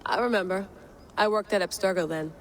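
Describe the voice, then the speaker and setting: A woman's voice: mid-range voice, a little fast, slight background noise, close to an everyday voice. Actress as a determined female field agent. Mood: intent. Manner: direct, clipped, resolute. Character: determined, intense modern adventurer woman, field agent. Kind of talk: naturalistic game cutscene acting.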